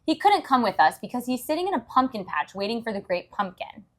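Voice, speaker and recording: A woman acts out a brash little girl from a children's storybook. She is bossy and easily disgusted, with a high, very animated voice and emphatic delivery. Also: fairly quick. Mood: brash, complaining.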